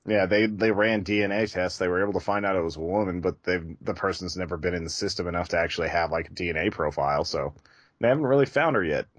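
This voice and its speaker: A man's voice: low-pitched, fast talker, no echo, dull audio. A man co-hosting a podcast, chatting casually in a rambling, loosely phrased way. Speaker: podcast co-host. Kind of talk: casual chat.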